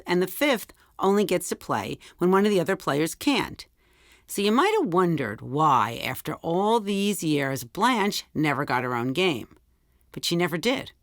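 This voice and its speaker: A woman's voice: fairly low pitch, dry recording, clean studio sound. A woman performer telling a scripted theatrical story. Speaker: monologue performer.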